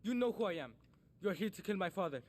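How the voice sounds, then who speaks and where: A man's voice: sits high, animated, fast, darkish tone. A young actor playing a frightened teenage boy, the son of a dictator, in a game cutscene, earnest and anxious. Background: game score music.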